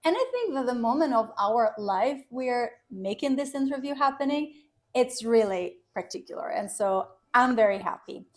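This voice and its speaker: A woman's voice: fairly high pitch, lively intonation, moderate pace. A woman with an Italian accent, a native Italian speaker, hosting a podcast interview in a chatty, loose way with frequent restarts. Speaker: podcast host. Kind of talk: casual interview chat.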